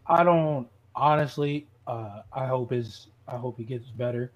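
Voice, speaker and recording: A man's voice: medium pitch, unhurried, muffled recording. A man co-hosting a sports podcast, arguing casually and insistently in slangy language and repeating himself. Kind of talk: casual, argumentative sports chat.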